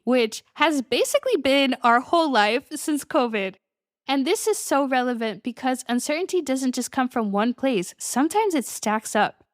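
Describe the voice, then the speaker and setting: A woman's voice: fairly high pitch, no echo, clean studio sound. A young adult woman hosting her own podcast as a coach, talking casually and upbeat, often breaking into little laughs. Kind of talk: solo podcast monologue.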